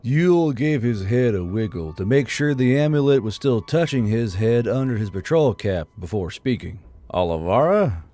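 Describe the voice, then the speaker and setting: A man's voice: mid-range voice, lively intonation, moderate pace, hardly any pauses, warm tone. A man narrating a fantasy audiobook from a script.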